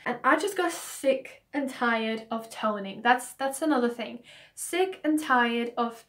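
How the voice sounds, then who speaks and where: A young woman, her voice croaky from hay fever, talking to camera in a casual, earnest vlog about her reasons. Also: fairly high pitch, a little slow, fairly bright tone. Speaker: beauty vlogger.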